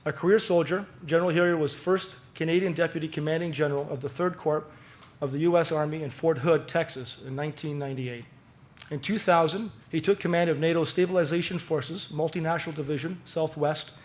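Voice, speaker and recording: A man hosting an event, reading a formal prepared introduction of the guest in a measured way. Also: a little high, steady tone. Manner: measured, formal delivery, rises at key phrases. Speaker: event host.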